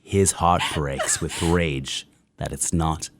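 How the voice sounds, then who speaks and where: An actor playing a broken, vengeful man in a radio drama, taunting and cursing with drawn-out menace. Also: a bass voice, fairly even intonation, heavy background noise, full character voice. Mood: goading.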